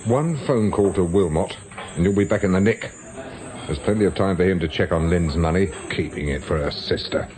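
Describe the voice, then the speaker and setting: An actor playing a stern former policeman in a radio drama, with a British accent and an insistent, deliberate way of questioning. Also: low voice, a little mellow, close to an everyday voice. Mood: stern, pressing. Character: probing male former policeman turned investigator, stern and persistent.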